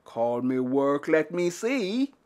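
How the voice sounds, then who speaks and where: Woman as a slow, commanding magic pot. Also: deep voice, big pitch swings, darkish tone, pitched below the performer's own voice, full character voice. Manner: slow, deliberate, drawn-out phrases. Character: mysterious old rusty talking magic pot, commanding. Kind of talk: oral folktale storytelling.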